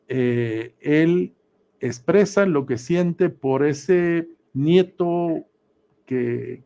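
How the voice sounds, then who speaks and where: Unhurried, mellow man in his sixties. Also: mid-range voice. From Guatemala. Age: 60s. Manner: measured, deliberate, with pauses. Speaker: interview guest. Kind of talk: reflective radio interview answers, recounting memories.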